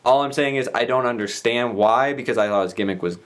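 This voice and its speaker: Man chatting in a medium-pitched voice. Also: quick pace. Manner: hedging, rambling with frequent "you know". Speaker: podcast guest. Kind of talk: casual wrestling discussion.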